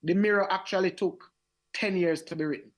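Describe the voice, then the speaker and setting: A man with a Jamaican accent and a raspy voice, telling stories as a singer in a radio interview, animated and often repeating his words. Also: high voice, brisk. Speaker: reggae singer, guest.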